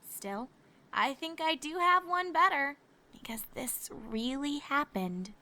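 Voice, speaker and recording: A woman's voice: sits high, expressive, takes it slowly, pushed and bright, full character voice. A woman voicing a refined, squeamish female pony with a theatrical, prim delivery in a cartoon fan fiction story. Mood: shaken, impressed. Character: refined, squeamish female ponies listening to ghost tales. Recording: faint background music.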